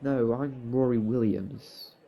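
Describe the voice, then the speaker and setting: A man's voice: medium pitch, slow, dark tone. A man playing a long-suffering, exasperated but fond young husband in a fan audio drama, flustered and grudging.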